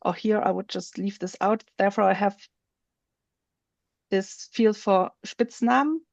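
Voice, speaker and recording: A woman presenting on a video call, slowly walking through a software feature while she shows her screen. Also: medium pitch, gravelly, dry recording. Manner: slow, explanatory, thinks aloud while showing her screen. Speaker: webinar presenter.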